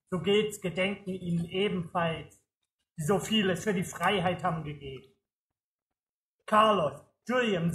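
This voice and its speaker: High-voiced man solemnly reciting poetry. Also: fairly bright tone, roomy sound, poor audio. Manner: declamatory, measured, rhythmic delivery. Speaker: poet reciting at a political memorial.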